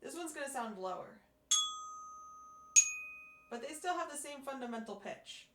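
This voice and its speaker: Hoarse woman teaching, echoey room. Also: high-pitched, expressive, moderate pace, heavy background noise. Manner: patient, step-by-step explaining with fillers. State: hoarse, losing her voice. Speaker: music teacher. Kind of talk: instructional demonstration.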